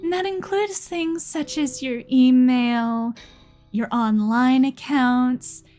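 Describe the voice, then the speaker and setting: A woman's voice: high voice, slow pace, darkish tone, soft background music. A woman voicing an obsessive, possessive young dating-sim girl in an ASMR roleplay, sweetly menacing and teasing.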